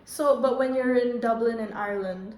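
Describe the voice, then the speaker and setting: A woman's voice: on the high side, moderate pace, darkish tone, roomy sound. A young woman asking interview questions in a friendly, chatty way, with lots of "like" and "okay".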